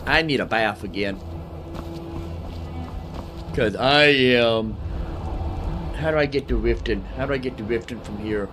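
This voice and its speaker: A man's voice: medium pitch, unhurried, long pauses. Casual man commentating over game music. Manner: repeats his phrases, folksy slang. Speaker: gaming video host.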